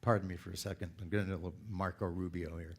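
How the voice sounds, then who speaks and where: A man in his sixties from California with a deep voice, giving a chatty, playful talk to a congregation and drawing the audience in. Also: flat delivery, racing, vocal fry. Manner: chatty, playful storyteller who asks the audience for input. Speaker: speaker at a spiritual center.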